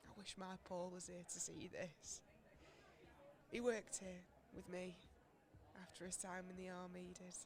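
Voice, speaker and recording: A woman playing a chatty, warm-hearted bereaved older woman with a Northern English accent in an amateur radio play. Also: on the low side, level tone, unhurried. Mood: wistful, grieving.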